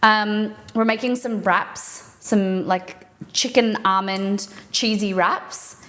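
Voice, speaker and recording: A woman's voice: medium pitch, fairly even intonation, slow pace, fairly bright tone. A young Australian woman hosting a live cooking stream, chatting casually with fillers as she cooks in a home kitchen. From Perth, Western Australia.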